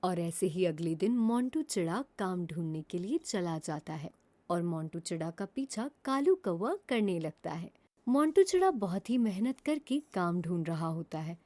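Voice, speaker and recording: A woman narrating a children's cartoon story, her pitch rising and falling in a lively way. Her tone is mellow and warm, and she tells it in a plain storybook manner. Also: normal speed.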